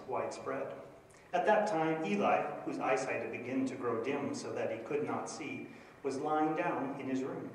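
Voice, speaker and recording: Middle-aged Canadian minister, hesitant, with handheld mic, in a reverberant church hall. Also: male voice, medium pitch. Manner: hesitant, with many fillers. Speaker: minister presenting a graduate project. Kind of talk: church presentation with scripture reading. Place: church sanctuary before a projector screen. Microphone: handheld microphone. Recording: echoey church from a far camera.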